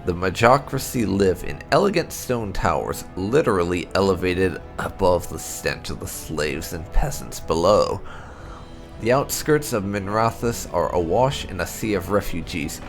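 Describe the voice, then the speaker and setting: A man reading fantasy lore aloud as dramatic narration, in a deliberate storyteller's delivery. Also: deep voice, gravelly. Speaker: gameplay channel host. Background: music.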